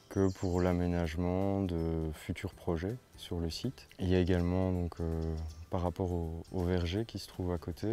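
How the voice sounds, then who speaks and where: A man from Belgium explaining his project in an interview, with measured, slightly hesitant phrasing. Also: deep voice, dark tone. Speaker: farm project founder. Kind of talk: documentary interview, spontaneous speech.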